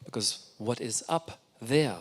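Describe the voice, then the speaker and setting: A man's voice: mid-range voice, expressive, takes it slowly. A man whose first language is German, giving an enthusiastic, reflective architecture lecture. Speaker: guest lecturer.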